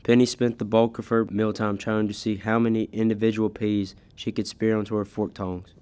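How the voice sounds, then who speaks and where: A man's voice: fairly low pitch, a little mellow, close to an everyday voice. A teenage boy reading scripted storybook narration in an amateur school radio play. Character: measured storybook narrator of a gothic tale.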